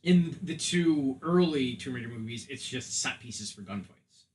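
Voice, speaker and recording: A man's voice: medium pitch, expressive, measured pace, a little hiss or hum. A man in a casual podcast discussion, talking in a rambling way with "like" sprinkled all through. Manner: rambling, peppered with "like". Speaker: podcast co-host.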